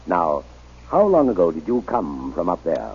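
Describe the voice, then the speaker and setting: An actor playing a determined missionary reverend, coaxing and deliberate, in an old-time radio drama. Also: male voice, deep voice, very animated, breathy edge, heavily acted. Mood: coaxing, probing. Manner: deliberate, coaxing, emphatic delivery. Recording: muffled, dull old radio recording.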